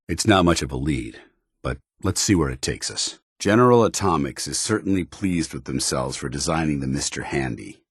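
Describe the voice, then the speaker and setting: An actor playing a stern, dutiful armored soldier in a video game, speaking in a formal, clipped military manner. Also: male voice, a bass voice, husky, no echo, studio quality, exaggerated voice. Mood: grave, watchful. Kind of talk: video game companion dialogue acting.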